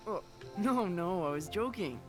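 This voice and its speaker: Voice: mid-range voice, lively intonation, unhurried, over music, natural voice. An actor playing a cheerful, cheeky teenage boy in a video game, breezy and informal. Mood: teasing. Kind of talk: video game cutscene acting.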